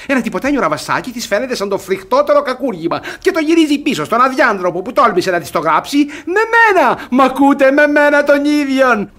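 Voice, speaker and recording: A pompous jealous guardian in an old comedy play, rough husky voice, all over the place, repeats himself. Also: male voice, sits high, exaggerated voice. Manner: excitable, self-satisfied, repeating words. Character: smug, jealous, gullible middle-aged guardian and suitor. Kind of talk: theatrical radio comedy acting.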